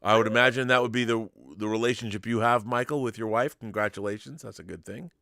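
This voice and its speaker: A man's voice: on the low side, fairly even intonation, fast talker, creaky, pushed and bright, no room sound, clean studio sound. A man hosting a casual live stream, chatting in an enthusiastic, rambling way and reading out viewer messages.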